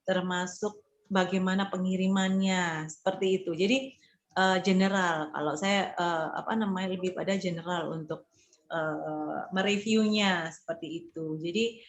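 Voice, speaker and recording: A woman marketing consultant talking in a casual group discussion, pausing often with fillers and restarting her sentences. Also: on the low side, fairly even intonation. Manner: hesitant, many fillers and restarts; informal, conversational explaining.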